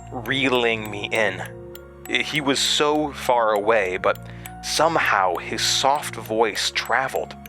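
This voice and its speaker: An actor playing a haunted man recounting a terrifying memory in a horror audio drama, halting and hesitant. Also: on the low side, pushed and bright, heavily acted. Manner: halting, hesitant, with stammered restarts.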